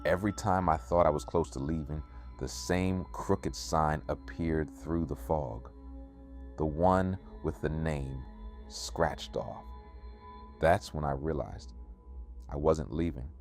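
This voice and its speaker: A man narrating a scripted first-person horror story in a measured, ominous way, with music behind him. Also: a bass voice, darkish tone. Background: music bed.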